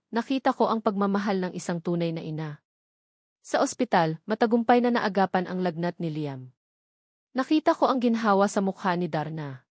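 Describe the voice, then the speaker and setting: A woman's voice: medium pitch, a little mellow, no room sound, clean studio sound. A woman reading a scripted first-person romantic drama as a storyteller. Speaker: story narrator.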